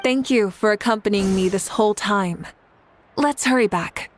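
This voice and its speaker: A woman's voice: medium pitch, normal speed, a little hiss or hum, natural voice. A woman playing an assured, kindly female warrior leader in a video game, speaking with composure. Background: faint music.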